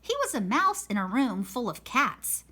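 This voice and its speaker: A woman reading a children's picture book aloud in a slow, expressive storytelling manner. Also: on the high side. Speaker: storybook reader. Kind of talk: children's read-aloud narration.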